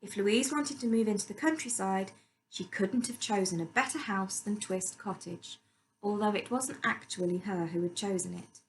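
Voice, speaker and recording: A woman's voice: medium pitch, normal speed, slight background noise, low-quality recording. A woman reading a story aloud as scripted narration.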